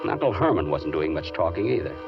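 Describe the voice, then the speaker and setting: An American actor playing a mild, nervous, henpecked piano tuner in 1940s radio drama, hesitant and plaintive. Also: male voice, low-pitched, very animated, fast, creaky, full character voice. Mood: anxious narrating. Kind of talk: 1940s radio drama acting with first-person narration. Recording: dull vintage radio recording, music behind.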